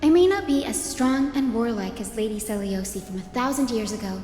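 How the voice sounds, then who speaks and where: A woman's voice: a little high, lively intonation, fairly quick, dark tone, close to an everyday voice. A woman voicing a cheery, courteous and sincere young healer in a video game. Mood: earnest. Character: bright, polite, earnest young healer woman. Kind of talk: English video game voice acting. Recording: echoey hall reverb under music.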